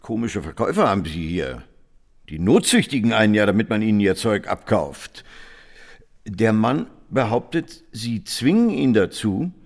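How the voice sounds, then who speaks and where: An actor playing a vain, brusque film star as a customer in a radio play, pompous and easily indignant. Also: male voice, fairly low pitch, big pitch swings, moderate pace, creaky, darkish tone, heavily acted. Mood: haughty complaint. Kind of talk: German radio play acting.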